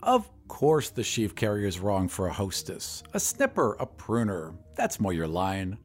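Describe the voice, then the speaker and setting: Audio drama: gloomy old male painter, played by a male narrator, cynical, blunt, mocking, animated pitch over music. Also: measured pace, heavily acted. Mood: teasing. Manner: sarcastic, gruff, blunt.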